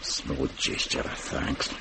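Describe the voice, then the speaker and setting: Prickly Scottish monastery cook played by an actor. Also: male voice, takes it slowly, background noise, lightly acted. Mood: prickly, sardonic. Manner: sardonic, prickly. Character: proud, grudging male monastery cook, touchy about his kitchen. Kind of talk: radio drama acting.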